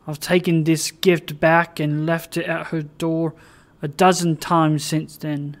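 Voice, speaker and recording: A reader voicing a drowsy, dissipated man who speaks in a slow, brooding way. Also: mid-range voice, level tone. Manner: slow, brooding, louder than narration.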